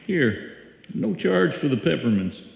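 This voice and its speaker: A man's voice: fairly high pitch, very animated, a little slow, gravelly, slight background noise, heavily acted. A man playing a folksy, apologetic small-town drugstore clerk in a live old-time radio drama, with hesitant, stammering talk. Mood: sheepish, apologetic. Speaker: amateur radio play actor. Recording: echoey hall, live stage performance.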